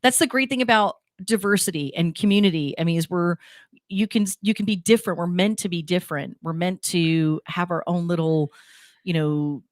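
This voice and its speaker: Female host, New Jersey accent, low-pitched, chatty and rambling, dry studio mic. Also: normal speed, no room sound. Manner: chatty, rambling, self-deprecating. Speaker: radio show host. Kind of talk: casual chat with guests. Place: radio studio table.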